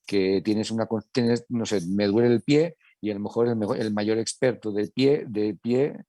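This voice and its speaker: Middle-aged Italian-accented man, panel speaker, mellow and dry sound, stop-start delivery. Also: lowish voice, no echo. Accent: Italian, with a Spanish Castilian touch. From Italy. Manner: halting, many restarts, conversational. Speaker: hospital medical director, panelist. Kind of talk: panel discussion.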